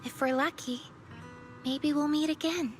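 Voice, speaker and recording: A woman's voice: high-pitched, steady tone, a little slow. A woman playing an earnest, eager young researcher with big dreams in a video game. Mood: tender, wistful. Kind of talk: English video game voice acting. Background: game music.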